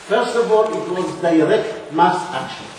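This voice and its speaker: An older man from Palestine speaks slowly and deliberately, with a rather high voice. He hesitates and restarts often as he lectures. The recording is distant and echoey, with a long hall reverb. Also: darkish tone, a little hiss or hum. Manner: measured, thoughtful, many hesitations and restarts. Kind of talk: public lecture.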